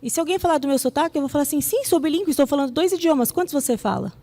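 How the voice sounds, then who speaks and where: A woman's voice: high-pitched, animated, rapid-fire, warm tone. A Brazilian woman speaking as a teacher to an audience, conversational and emphatic, often checking in with rhetorical questions. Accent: Brazilian Portuguese. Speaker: English teacher and translator giving a talk. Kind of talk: public talk answering audience questions. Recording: echoey hall with long reverb.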